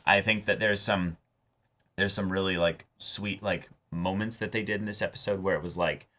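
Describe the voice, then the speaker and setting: Male co-host, very low pitch with a bright, forward edge, casual and animated discussion style, circles back over his words. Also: moderate pace. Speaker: co-host of an anime reaction show.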